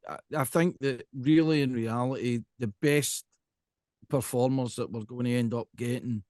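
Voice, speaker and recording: A man's voice: medium pitch, normal speed, darkish tone, dry recording, clean studio sound. A man with a Scottish accent hosting a casual interview, talking in an emphatic, rambling way and often checking in with the listener. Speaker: podcast host.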